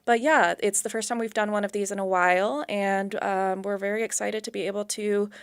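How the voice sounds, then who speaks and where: A woman's voice: medium pitch, fairly even intonation, fairly quick, smooth, no room sound, clean studio sound. A woman speaking conversationally as a radio guest, announcing community events for the library.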